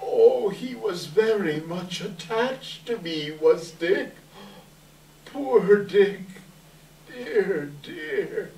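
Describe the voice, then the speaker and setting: Old miser amazed by memories, acted by a woman, swooping drawn-out exclamations, sentimental, stage reading, roomy distant sound. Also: medium pitch, animated, mellow. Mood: wistful. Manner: drawn-out exclamations, theatrical delight. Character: excitable old man Scrooge, sentimental and astonished. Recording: echoey hall from far off.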